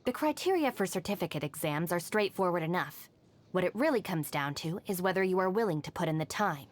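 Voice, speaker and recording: A woman's voice: fairly low pitch, expressive, natural voice. An actress voicing a capable, no-nonsense young female gang deputy in a video game, matter-of-fact and dryly confident. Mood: businesslike, composed. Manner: matter-of-fact, composed, brisk. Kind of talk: video game character voice lines.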